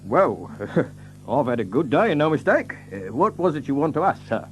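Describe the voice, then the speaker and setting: An actor playing a plain-spoken London cab driver with a working-class accent, hesitant and colloquial, in an audio drama. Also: male voice, fairly high pitch, big pitch swings, normal speed, slight background noise. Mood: chummy, obliging.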